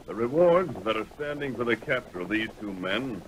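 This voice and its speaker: A man's voice: medium pitch, lively intonation, natural voice. An actor playing a commanding, heroic masked man of the Old West with an American accent in a vintage radio drama. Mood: benevolent, firm. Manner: authoritative, deliberate, heroic. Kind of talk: 1930s-40s radio western acting. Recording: noisy vintage radio recording.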